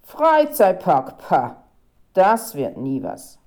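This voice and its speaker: A woman's voice: on the low side, wildly swooping pitch, drawn-out words, warm tone, exaggerated voice. A woman voicing a grumpy, scornful male press photographer who growls and grumbles. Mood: scornful grumbling.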